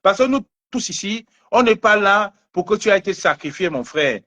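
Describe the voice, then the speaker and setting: A man hosting a live stream, urgently pleading and repeating himself to calm a caller. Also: high voice, moderate pace. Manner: urgent, insistent, repeats names.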